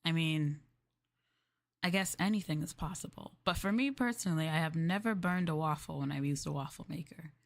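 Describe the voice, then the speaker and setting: A young woman streamer in a maid roleplay, chatting in a polite, formal way, giggling and dropping into soft asides. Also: deep voice, fairly quick, creaky. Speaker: VTuber streamer.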